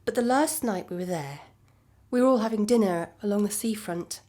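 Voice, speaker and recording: An actress playing a calm, resigned ex-girlfriend in a naturalistic audio drama, measured, dry and matter-of-fact. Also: medium pitch, close to an everyday voice. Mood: reminiscing.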